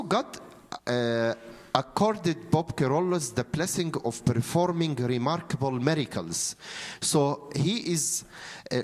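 Egyptian guy priest in his 50s giving a sermon, medium pitch, stops and starts a lot, arabic accent from egypt. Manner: halting, hesitant phrasing with frequent pauses. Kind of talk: sermon, storytelling from notes. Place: church interior, seated at a lectern. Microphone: handheld microphone held close.

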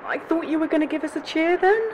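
An actress playing a plucky young bush pilot, delivering a confiding dramatic monologue in an audio play. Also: female voice, very high voice, fairly even intonation, fast, slight background noise, rough recording. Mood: flustered. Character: plucky young female bush pilot narrating her story.

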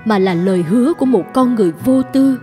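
Woman, narrator, scripted storytelling, higher pitch, music in the background. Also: fast, mellow.

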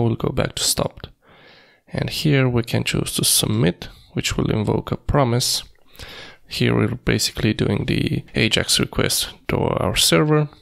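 A man presenting a screencast coding tutorial, thinking aloud in a halting, piecemeal way with a creaky, gravelly voice. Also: mid-range voice. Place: indoors at a computer, screen recording.

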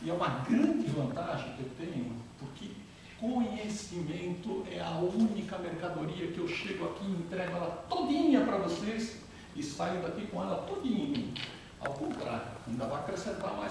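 A man in his fifties leads a lively lecture with a fairly high voice, asking his listeners questions. He is caught from far across a large lounge. The room rings with echo over steady noise. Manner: animated, questions the audience directly. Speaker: guest speaker. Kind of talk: interactive lecture. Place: large brick-walled lounge with an audience. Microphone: far camera, no mic. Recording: echoey room from far off, noisy.

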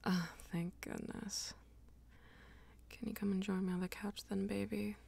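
A woman playing a dominant, teasing female vampire lover in an intimate ASMR roleplay, coaxing and breathy, often dropping toward a whisper. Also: medium pitch, flat delivery, mellow, heavily acted. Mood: seductive, teasing. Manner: slow, coaxing, teasing pet names.

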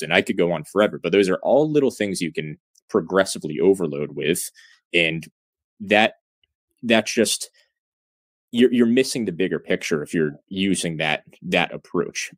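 A man's voice: sits low, dry recording, studio quality. A young man talking casually and explaining things as a fitness guest on an interview call. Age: young adult. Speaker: fitness YouTuber, podcast guest. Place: indoor room, video call.

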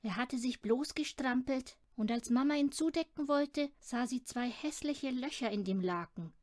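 Female children's audiobook narrator, higher register, close dry sound. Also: moderate pace, a little mellow, no echo. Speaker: audiobook reader. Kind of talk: scripted children's story narration.

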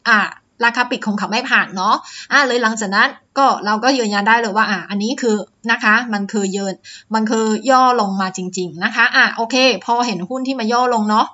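A woman's voice: a little high, sharp tone, dull audio. A woman teaching stock chart reading step by step, with frequent "uh" fillers and polite sentence tags. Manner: explains step by step, many "อ่า" fillers and "นะคะ" tags. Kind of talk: screen-share stock chart lesson.

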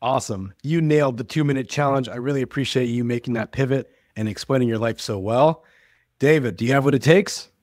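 A young man hosts a podcast over a video call, with a dry and close sound. He keeps things upbeat and chatty at a middle pitch for a man, often repeating his words of praise. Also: a little fast, a little mellow, no room sound. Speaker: podcast host. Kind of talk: casual upbeat hosting.

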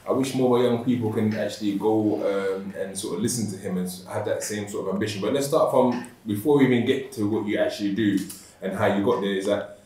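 A man's voice: fairly low pitch, fairly quick, a little mellow, recorded from a distance. A man from South East London with a London accent, hosting a casual interview in a friendly, chatty way. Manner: chatty, friendly, repeats words. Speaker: interviewer and channel host. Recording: roomy echo, from off-mic.

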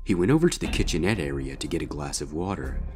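A man's voice: very deep voice, animated, quick pace, a little mellow, soft background music. A man narrating a scripted story in a dramatic reading style.

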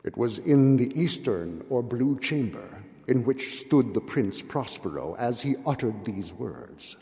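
Slow, theatrical older man reading aloud. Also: medium pitch, very animated, dark tone. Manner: theatrical, solemn, deliberate. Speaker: reader at a public event. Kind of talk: dramatic literary reading. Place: indoor hall, at a podium. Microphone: gooseneck podium microphone. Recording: long hall reverb.

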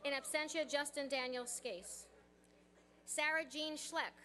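A woman with a high, bright voice reads graduates' names in a steady, measured cadence. She speaks into a podium microphone on an outdoor stage, giving each name the same formal weight. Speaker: commencement name reader. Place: outdoor stage at a college ceremony.

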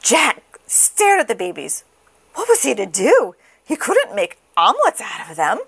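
Slow, animated woman reading a storybook. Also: a little high, breathy edge. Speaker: storybook reader. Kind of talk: expressive children's read-aloud.

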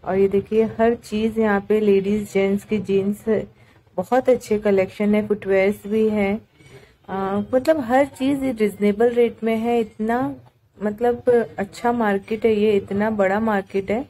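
A woman's voice: mid-range voice, fairly even intonation, measured pace, dark tone, poor audio. A woman narrating a vlog in a casual, chatty, conversational way. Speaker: market vlogger.

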